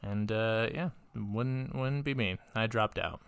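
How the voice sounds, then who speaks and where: A woman's voice: rumbling low, slow, smooth. A woman co-hosting a podcast in casual chat, rambling with lots of filler words and laughter. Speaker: podcast co-host.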